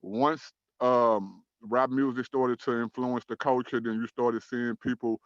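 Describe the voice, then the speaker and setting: A man in his thirties from Dallas, Texas, hosting an online talk show in a measured, earnest way, with frequent "um" and "uh" fillers. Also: medium pitch, fairly even intonation, no room sound, muffled recording. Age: 30s. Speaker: host.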